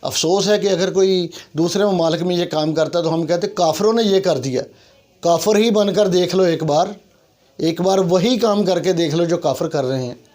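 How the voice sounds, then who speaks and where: A man's voice: high voice, normal speed, a little mellow. A man with a Pakistani accent delivering an earnest, complaining opinion monologue, emphatic and full of rhetorical repetition. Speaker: YouTube commentator. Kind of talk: opinion monologue on civic issues.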